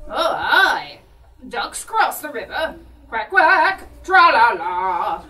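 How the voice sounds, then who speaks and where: A woman's voice: a little high, very animated, takes it slowly, bright, forceful tone, heavily acted. A woman voicing a cheeky rustic simpleton in a shadow puppet show, folksy and sing-song. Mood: cheeky teasing. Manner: folksy dialect, sing-song tra-la-las, evasive. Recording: echoey live room, distant mic.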